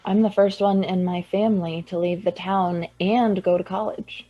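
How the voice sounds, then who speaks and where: A woman's voice: on the low side, fairly even intonation, moderate pace, lo-fi sound, close to an everyday voice. A young actress playing a tipsy, dry-witted woman from a small town in a radio drama. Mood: wry, tipsy.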